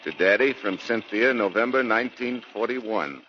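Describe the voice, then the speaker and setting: A man acting a gruff, affectionate father, a Marine major, in a 1940s radio drama, hearty and indulgent. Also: lowish voice, lively intonation, moderate pace, lightly acted. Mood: fond, teasing. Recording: dull, noisy 1940s radio recording.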